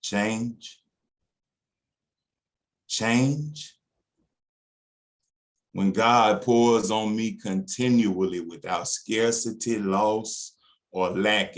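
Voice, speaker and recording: A man reciting spoken word poetry in a deliberate, dramatic way with emphatic pauses. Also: fairly low pitch. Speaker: poet.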